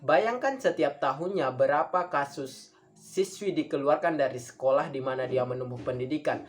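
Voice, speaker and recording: A man gives a formal debate speech as a student speaker, earnest and halting, often repeating phrases for emphasis. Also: on the high side.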